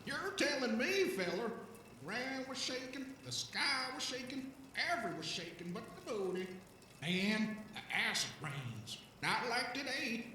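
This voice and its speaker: A man playing an excitable, folksy old alien vagrant in an audio drama, rambling and stammering in a rough, coarse voice. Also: sits high, heavy reverb, recorded from a distance, a little hiss or hum, full character voice, rough recording. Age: older. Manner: folksy, rambling, stammering, talks of himself in third person. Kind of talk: audio drama character acting.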